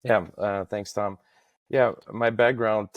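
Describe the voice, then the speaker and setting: Low-voiced man, very even in pitch. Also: slow pace, dry recording, muffled recording. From Estonia. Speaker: podcast guest, facade industry professional. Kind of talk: casual interview, recounting career.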